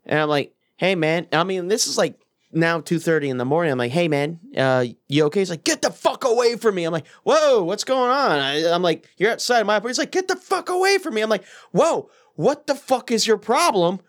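Animated man with a lively voice. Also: high voice, fairly quick. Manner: animated, swearing, laughs often. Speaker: podcast co-host. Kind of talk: casual banter, storytelling.

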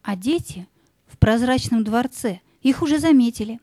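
A woman narrates a children's audio fairy tale. Her voice is slightly high and mellow, with measured, lyrical phrasing. The sound is close and dry. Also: expressive, no room sound.

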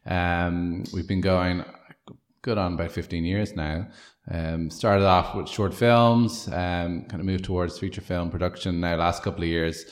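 A man who is a film producer, answering interview questions in a relaxed, rambling way with many fillers. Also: deep voice, unhurried.

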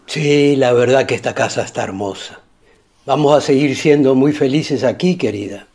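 Husky, reassuring Paraguayan husband, played by an actor. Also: male voice, mid-range voice, moderate pace, a little mellow, lightly acted. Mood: content, affectionate. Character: calm, reassuring, rational husband. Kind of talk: radio drama acting.

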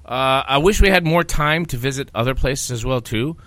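Rambling male podcast host of Greek origin, bright tone, dry close sound. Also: mid-range voice, moderate pace, dry recording. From Greece. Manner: rambling, lots of fillers and repeats. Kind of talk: casual podcast chat.

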